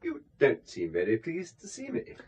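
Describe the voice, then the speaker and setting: An actor playing a smooth go-between for spies in a radio drama, polite, formal and coolly pressing. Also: male voice, fairly high pitch, wildly swooping pitch, moderate pace, a little mellow, exaggerated voice. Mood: smug politeness.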